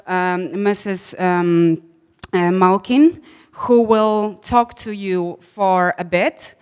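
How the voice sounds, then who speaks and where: A woman moderating an event lays out the program in a smooth, clear voice. She talks briskly, with frequent fillers. A long reverb from a large room trails her words. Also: mid-range voice. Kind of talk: announcing the program.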